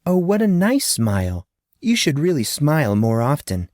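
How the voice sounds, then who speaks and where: A man's voice: fairly high pitch, wildly swooping pitch, normal speed, darkish tone, no echo, clean studio sound. A man voicing a curious, chatty little girl in a storybook, sweet and wondering. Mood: delighted.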